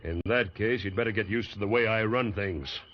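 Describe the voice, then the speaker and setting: Casting: male actor as arrogant logging boss, low-pitched bully, domineering, 1940s radio drama on a dull old recording. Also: a little fast, lightly acted. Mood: sneering, defiant. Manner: sneering, domineering.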